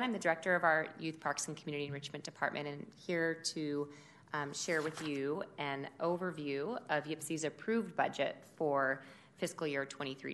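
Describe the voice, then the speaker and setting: A woman who directs a city department, giving a formal budget presentation at a public meeting in a loud, steady, measured voice. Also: sits low. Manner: measured, informative delivery with occasional fillers.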